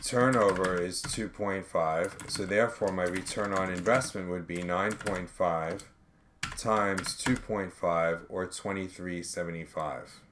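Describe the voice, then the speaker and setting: A man working step by step through an accounting tutorial as an instructor, in a measured way, reasoning aloud as he calculates. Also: deep voice, steady tone. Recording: background noise with keyboard typing clicks.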